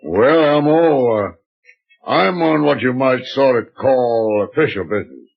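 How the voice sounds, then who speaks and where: Rough-talking American spaceman, gravelly and ungrammatical, old muffled radio drama. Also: mid-range voice, expressive, a little slow, heavily acted. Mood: gruff, casual. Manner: ungrammatical, slangy, hesitant. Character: gruff, slangy, rough-talking male space adventurer. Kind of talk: 1930s radio serial acting. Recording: dull 1930s radio recording.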